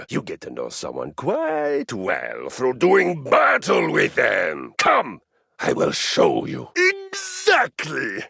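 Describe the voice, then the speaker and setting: Booming, boastful Viking exercise machine. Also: male voice, vocal fry, a bit bright, slightly rough, no echo, clean studio sound, exaggerated voice. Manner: pompous, booming, quick to threaten a fight. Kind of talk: video game voice acting.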